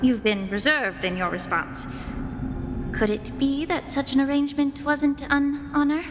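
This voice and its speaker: A woman voicing a sharp-minded, firm official in a video game, speaking formally and precisely. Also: high voice, steady tone, measured pace, noisy background. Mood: probing. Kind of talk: scripted video game dialogue. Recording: hall reverb, music behind voice.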